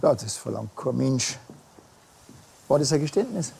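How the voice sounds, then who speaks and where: An actor playing a blunt, laconic village policeman with a Bavarian accent in a TV crime comedy, deadpan. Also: medium pitch, unhurried, gravelly, slight background noise, heavily acted. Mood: dry questioning.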